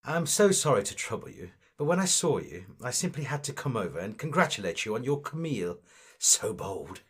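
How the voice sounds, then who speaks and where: A man's voice: medium pitch, sing-song, fairly quick, fairly bright tone, breathy edge. A man playing a vain, snobbish amateur actor in a comic audio drama, with affected, gushing, pompous delivery. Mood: fawning, self-important.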